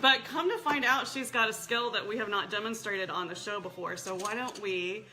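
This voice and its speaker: A woman's voice: mid-range voice, moderate pace, sharp tone. A woman hosting a casual wine-tasting chat, talking in a rambling, friendly way with frequent laughs and asides. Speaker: show host.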